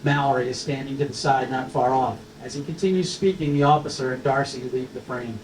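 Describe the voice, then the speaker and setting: A man's voice: medium pitch, steady tone, brisk. A man reading stage directions as scripted narration at a screenplay table read. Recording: roomy, distant mic, faint noise.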